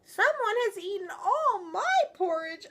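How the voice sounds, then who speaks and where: A woman's voice: very animated, measured pace, fairly bright tone, heavily acted. A woman voicing a wee little baby bear in a children's storybook, sad, upset and wailing plaintively. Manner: high, sad, plaintive wail.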